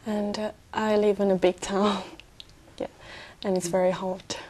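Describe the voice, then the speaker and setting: A young woman speaks in a mellow, warm voice. She pauses often and fills the gaps with fillers and soft laughs as she searches for her words. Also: mid-range voice, little pitch movement. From Brazil. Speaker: exam candidate.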